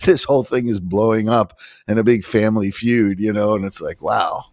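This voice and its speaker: Male guest author, lower voice, lively storyteller who acts out conversations, dry booth sound. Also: moderate pace, no room sound. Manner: animated, laughs often and acts out quoted dialogue. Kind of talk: casual conversation.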